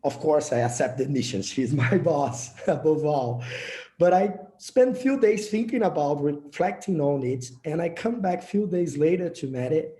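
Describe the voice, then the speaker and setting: A man's voice: on the high side, normal speed, warm tone. Brazilian guy giving an earnest talk, some restarts, echoey room. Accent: Brazilian Portuguese. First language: Portuguese. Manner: earnest, with small slips and restarts. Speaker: project leader presenting a session. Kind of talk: prepared presentation speech.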